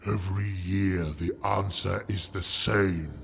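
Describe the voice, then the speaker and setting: A man voicing a cruel, imperious armored dark-elf overlord in a slow, threatening, rumbling growl for a fantasy audiobook. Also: fairly even intonation, full character voice. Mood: contemptuous menace. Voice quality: deep rumbling gravelly growl. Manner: slow, threatening, pompous pronouncements.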